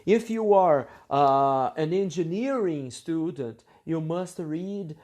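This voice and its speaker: A man giving a lecture, reading from his article and commenting on it, speaking slowly and deliberately with frequent fillers and checks like "okay?". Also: fairly high pitch, animated, a little mellow. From Brazil. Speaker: university English teacher.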